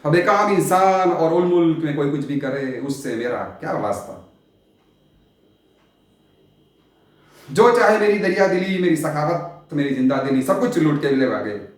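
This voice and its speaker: An actor playing a world-weary, eccentric sultan in a stage monologue, grand and theatrical, with long dramatic pauses. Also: male voice, fairly high pitch, animated, close to an everyday voice. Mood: weary, searching. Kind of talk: Hindi stage play monologue, poetic Urdu diction. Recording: very echoey, reverberant room.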